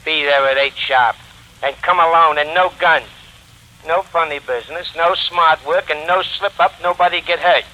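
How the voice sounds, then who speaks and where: An actor playing a curt, menacing gangster with clipped, commanding slang, in 1940s radio crime style. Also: male voice, fairly high pitch, moderate pace, pushed and bright, poor audio. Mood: menacing, businesslike. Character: curt, menacing gangster giving ransom orders.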